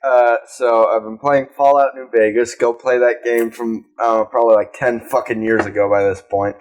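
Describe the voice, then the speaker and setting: A man's voice: medium pitch, little pitch movement, normal speed, darkish tone. A young adult man co-hosting a podcast in casual, rambling chat sprinkled with swearing. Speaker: podcast co-host.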